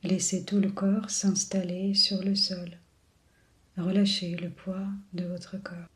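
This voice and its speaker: A woman leading a guided body scan meditation in a soothing, unhurried manner with long pauses. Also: on the low side, little pitch movement. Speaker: yoga and relaxation guide.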